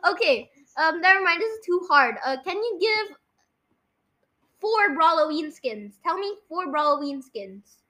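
A young podcast host with a high, bright, forceful voice. The delivery is casual quiz chat with a bossy, correcting edge, like a kid running the show. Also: expressive, unhurried.

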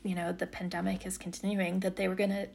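A woman's voice: lowish voice, little pitch movement, fast talker, vocal fry, darkish tone, a little hiss or hum. A woman journalist explaining policy thoughtfully in an interview. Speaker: journalist guest.

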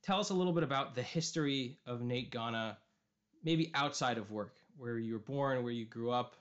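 A man's voice: medium pitch, normal speed, a bit bright. A man hosting a podcast, asking questions in a casual interview style. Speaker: podcast host. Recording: slightly dull, lo-fi audio.

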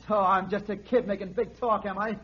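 A sardonic young hothead out for revenge, his voice highish and a little husky. He needles bitterly in 1940s radio drama style. The vintage broadcast sounds muffled. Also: steady tone, brisk, a bit bright. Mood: menacing. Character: bitter, sarcastic young man bent on revenge.

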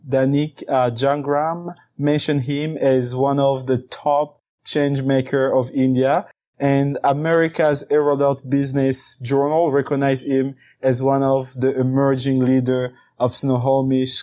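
A man's voice: mid-range voice, level tone, slow pace, darkish tone. Upbeat male podcast host. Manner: upbeat, reads guest introduction. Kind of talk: podcast interview hosting.

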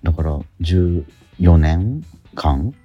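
A middle-aged man chatting casually as a radio host, animated but sometimes halting and reflective. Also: deep voice, husky, dull audio, faint music behind. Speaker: radio host and theatre actor. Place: theatre auditorium during rehearsal.

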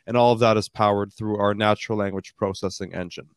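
Deep voice young guy telling his founder story, lots of uhs, dry close mic. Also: steady tone, quick pace, no room sound. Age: 20s. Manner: fluent storytelling with frequent uh fillers. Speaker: startup founder guest. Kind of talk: interview answers, conversational. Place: based in Canada.

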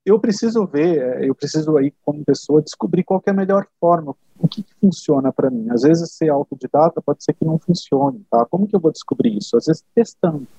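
Man with a dull, husky voice. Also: on the high side, expressive, fairly quick, hardly any pauses, dark tone, dry recording. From Brazil. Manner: explanatory, many fillers like "né" and "tá". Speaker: interview guest. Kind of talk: casual interview answers. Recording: dull lo-fi sound.

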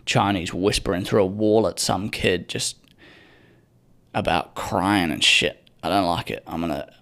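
A young man rants solo into his podcast mic, cursing freely and wandering off on sardonic tangents. His low voice has a gravelly, creaky edge. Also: a little slow, fairly bright tone. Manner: profane, rambling, sardonic. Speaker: podcast host. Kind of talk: casual solo podcast rant. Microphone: podcast microphone.

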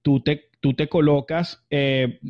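A man's voice: on the high side, fairly even intonation, takes it slowly. A man from Venezuela, telling stories as an interview guest in a casual, rambling way full of fillers and restarts. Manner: chatty, many fillers and restarts. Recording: dull lo-fi audio.

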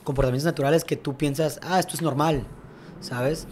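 Reflective man, quick talk. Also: a little high, steady tone, darkish tone, slight background noise. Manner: reflective, fast storytelling with frequent "¿sabes?". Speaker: guest, tennis coach and former athlete. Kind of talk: casual podcast conversation.

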